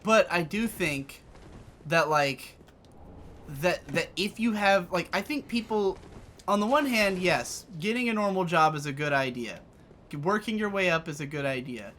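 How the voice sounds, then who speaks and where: Rambling young man, slightly high voice. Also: lively intonation, measured pace, fairly bright tone, a little hiss or hum. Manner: rambling, swears casually, many restarts. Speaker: co-host. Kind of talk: casual chat.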